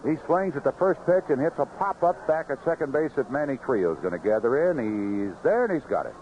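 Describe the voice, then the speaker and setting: American baseball announcer on noisy vintage radio. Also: male voice, fairly high pitch, quick pace, muffled recording. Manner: animated calls rising sharply on big plays, clipped phrases. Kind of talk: live radio baseball play-by-play. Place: ballpark broadcast booth.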